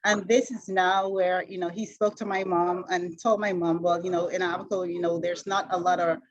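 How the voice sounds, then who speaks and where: A middle-aged woman from the Bahamas with a Bahamian accent, answering interview questions in a halting way, full of fillers and restarts. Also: on the low side, level tone, lo-fi sound. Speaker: interview guest, retired sprinter.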